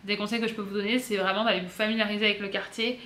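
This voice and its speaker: A woman from south-east France with a French accent, giving casual vlog advice in a chatty, halting way with frequent self-corrections. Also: mid-range voice, little pitch movement, a bit bright. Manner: chatty, hesitant, self-correcting with many pauses. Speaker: vlogger. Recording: roomy echo.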